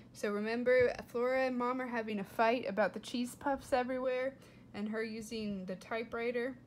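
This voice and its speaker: A woman reading a storybook aloud to children, expressive, with simple explanatory asides. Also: on the high side, moderate pace, a little hiss or hum. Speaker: read-aloud host for children.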